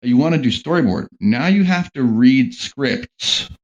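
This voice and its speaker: A man's voice: medium pitch, expressive, measured pace, darkish tone. A man talking casually on a podcast panel about working in animation, rambling with lots of "like" and "um". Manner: rambling, heavy on "like" and "um" fillers. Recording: dull lo-fi sound.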